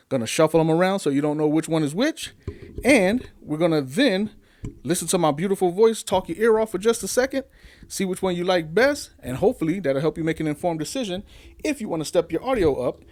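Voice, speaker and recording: A man's voice: sits high, expressive, brisk, darkish tone. Chatty upbeat man reviewing mics. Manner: upbeat, chatty, rhetorical questions. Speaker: YouTube tech reviewer. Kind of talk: casual vlog talk to camera. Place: home studio with gear behind. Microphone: desk-mounted condenser mic on an arm.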